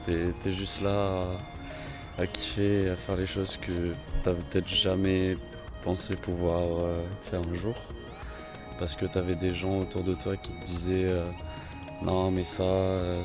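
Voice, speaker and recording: Young man, low voice, flat delivery, casual travel vlog, rambling with restarts, music under the voice. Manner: relaxed, rambling, lots of slang and restarts. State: slightly hoarse from a cold. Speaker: vlogger.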